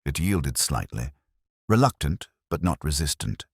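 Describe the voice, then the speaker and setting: An extremely deep male voice of an actor tells a detective story in the first person as a brooding police inspector. The delivery is solemn and literary, with measured phrasing and a widely moving melody. The sound is dry, in the manner of a reflective audio drama. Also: very animated, creaky, husky, no room sound, full character voice. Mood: somber, reflective.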